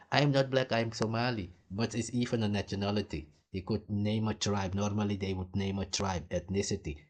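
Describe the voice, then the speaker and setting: A man speaks in a fairly low voice, delivering a heated reaction rant. His talk is blunt and profane, and he hammers his points home. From Suriname. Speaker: commentary host. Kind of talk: ranting reaction commentary.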